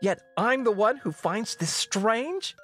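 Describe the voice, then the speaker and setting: A defiant young princess, acted out by a male narrator speaks in a very high voice with big swoops of pitch. She is emotional and indignant, exclaiming her lines as in a storybook tale. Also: expressive, slow, a bit bright, faint music behind, full character voice. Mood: angry, hurt. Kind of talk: storybook-style character voice.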